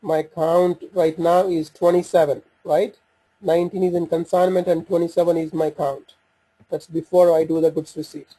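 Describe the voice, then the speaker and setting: A man presenting an informal software walkthrough, talking haltingly as he thinks aloud while working through the screens. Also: fairly high pitch, little pitch movement, slightly rough, no echo, low-quality recording.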